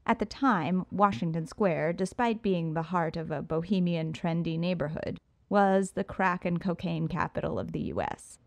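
Low, dry-voiced woman audiobook narrator. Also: moderate pace, hardly any pauses, no echo. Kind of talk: first-person audiobook narration.

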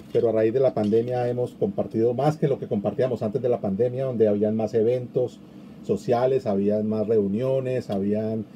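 A man's voice: medium pitch, warm tone, slight background noise. A middle-aged man hosting a live online interview over a video call, chatting easily and reading out viewer comments. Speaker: interview host. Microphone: video call webcam.

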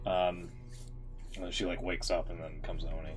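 A man's voice: deep voice, flat delivery, moderate pace, soft background music, rough recording. A man streaming a game, giving casual, irreverent, joking reaction commentary.

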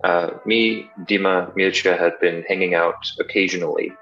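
A man's voice: deep voice, steady tone, moderate pace, muffled recording, soft background music. A young man from Denmark with a lightly Danish, somewhat American-sounding English accent, chatting casually over a video call in a thoughtful, self-deprecating way with many fillers. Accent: Danish, slightly American-sounding English. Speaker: guest partner. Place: small bright room indoors.

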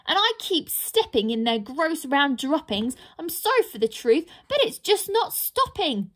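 A woman voicing a small, frightened female pixie who blurts out the truth in a high, squeaky voice. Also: sing-song, a little slow, a bit bright, exaggerated voice. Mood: anxious.

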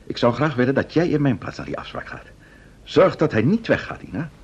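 An assured amateur detective in an old radio play. His husky voice swings widely in pitch, brisk and a little patronising. The recording is dull and dated. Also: big pitch swings. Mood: brisk, indulgent. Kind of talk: vintage Dutch radio play acting.

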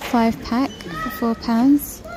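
A woman's voice: fairly high pitch, fairly even intonation, slow, crowd noise, low-quality recording. A woman vlogging in a large store aisle, chatting casually and reading out prices as she browses. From United Kingdom. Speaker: shopping vlogger. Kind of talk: casual shop-with-me narration. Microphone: handheld phone camera.

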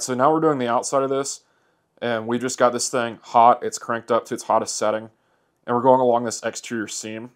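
Plainspoken man explaining a repair. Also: mid-range voice. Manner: easygoing, plainspoken, talks while working. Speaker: motorcycle repair demonstrator. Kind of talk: hands-on how-to narration. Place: indoor workshop.

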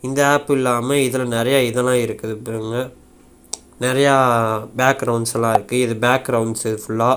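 A man's voice: mid-range voice, level tone, normal speed. A man casually walking viewers through a phone app in an informal, rambling way with repeated filler phrases. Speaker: tech YouTuber. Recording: faint background noise.

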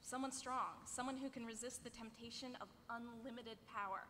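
A woman's voice: a little high, fairly even intonation, moderate pace, bright, forceful tone. A woman playing a wise, wry mentor who speaks in grave declarations at a live table read. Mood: solemn. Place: large indoor convention panel room. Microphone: table microphone. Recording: noisy echoey convention hall panel.

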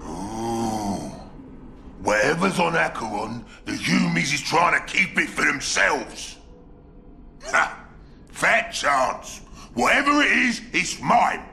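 A man voicing a brutish, greedy, cunning ork warboss in a video game, with a gravelly voice, a Cockney accent and crude grammar. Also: a little high, big pitch swings, slow pace, pushed and bright, slight background noise, exaggerated voice. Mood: greedy, gloating. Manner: bellowing, crude broken grammar. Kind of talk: video game cutscene acting.

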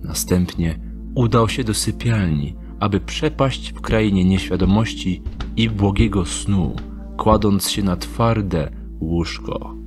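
A male narrator reading an audio drama script in a calm, steady voice. His delivery is slow and lowish in pitch, with a clear music score underneath. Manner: calm, measured storytelling.

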